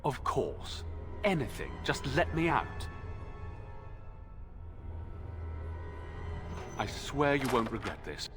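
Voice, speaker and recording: A man's voice: medium pitch, big pitch swings, measured pace. An actor playing an arrogant, desperate imprisoned young nobleman in a video game, haughty and pleading, with music behind him. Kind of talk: dramatic video game acting.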